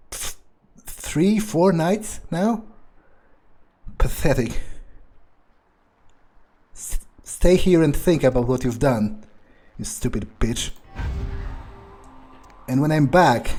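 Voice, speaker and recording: A man voicing an arrogant, cruel, brooding vampire in a video game roleplay, speaking haltingly with haughty menace. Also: medium pitch, mellow. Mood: contemptuous. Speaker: role-playing game streamer. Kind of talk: in-character game playthrough monologue.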